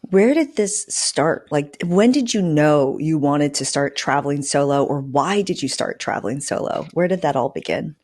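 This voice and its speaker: A woman with a fairly low voice hosts a podcast. She talks conversationally, introducing topics and putting questions to a guest as an interviewer. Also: lively intonation, normal speed, few pauses, a little mellow.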